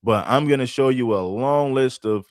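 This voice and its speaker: A man's voice: medium pitch, normal speed, a little mellow, no room sound, clean studio sound. A man hosting a livestream, commenting bluntly and arguing his point to viewers. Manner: blunt, argumentative. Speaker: host.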